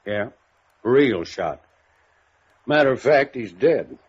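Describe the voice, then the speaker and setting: An actor playing a folksy, shrewd small-town police chief in a 1950s radio drama, laconic in manner. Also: male voice, medium pitch, animated, slow pace, slightly rough. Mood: dry, cagey. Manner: laconic, folksy drawling idiom. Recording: muffled vintage radio recording.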